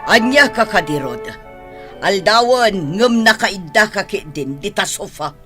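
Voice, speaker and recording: A strict village mother acted by a woman scolds in a bright, forceful voice with a Filipino accent. Her delivery swings in a lively, emphatic way, as in a radio comedy drama, with music underneath. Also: mid-range voice, measured pace. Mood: nagging.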